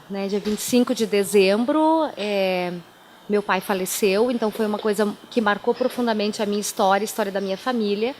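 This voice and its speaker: A woman's voice: medium pitch, slight background noise. A woman from southern Brazil whose first language is German, a pastor telling her life story in a radio interview, with a chatty, anecdotal way of talking and frequent tag questions. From Timbó, southern Brazil.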